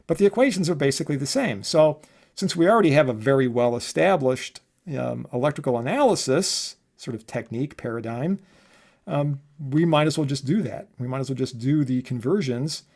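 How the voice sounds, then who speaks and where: A man's voice: mid-range voice, expressive, brisk, darkish tone. A man giving an informal technical lecture as a professor, explaining step by step and often checking in with short tag questions. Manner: conversational, often adding check words like "right?" and "okay?". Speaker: electronics professor giving a lesson.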